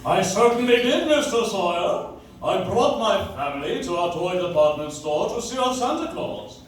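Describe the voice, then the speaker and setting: A man's voice: high voice, animated, normal speed. A man playing an irate, bossy store owner in a live staged radio play. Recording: echoey, distant audience recording with noise.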